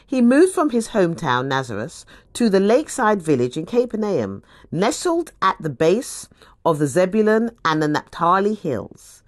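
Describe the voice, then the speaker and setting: Slow, expressive woman narrator. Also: on the low side, no echo, clean studio sound. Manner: dramatic, deliberate storytelling with emphatic pauses. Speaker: scripture reader. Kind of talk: expressive scripted Bible narration.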